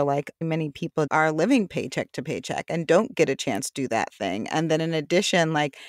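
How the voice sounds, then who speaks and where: A woman's voice: low voice, dry recording, studio quality. A woman co-hosting a podcast, talking thoughtfully and casually with lots of filler words. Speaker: podcast co-host. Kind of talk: casual interview chat.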